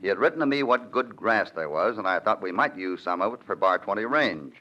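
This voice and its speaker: An actor playing a calm, steady cowboy hero narrating his own story, from a 1951 radio broadcast. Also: on the low side, fairly quick. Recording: dull 1951 radio recording.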